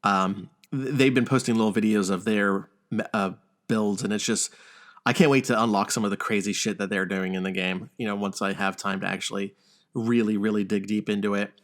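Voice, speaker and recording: A man's voice: lowish voice, fairly even intonation, fairly quick. A man hosting a podcast, chatting casually in a wry, joking, mildly profane way. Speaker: podcast host. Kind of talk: casual chat about news and video games.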